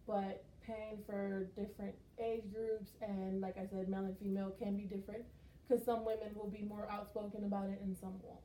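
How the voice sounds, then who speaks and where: A young woman from Texas talks through her experiences in a chatty, animated way. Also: medium pitch, brisk. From Texas, United States. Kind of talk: conversational class presentation. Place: skills lab room. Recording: roomy echo, faint noise.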